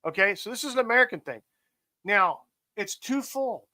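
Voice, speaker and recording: A man with a high, bright voice talks over current events on a casual podcast. He rants and curses with emphasis and keeps going at length. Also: animated, normal speed. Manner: profane, ranting, emphatic. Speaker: podcast host. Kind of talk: casual political chat.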